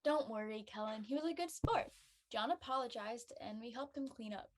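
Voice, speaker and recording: A woman playing a sensible, helpful high-school girl in an amateur script reading. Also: lively intonation, normal speed, noisy background, natural voice. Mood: reassuring. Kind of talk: amateur audio drama table read.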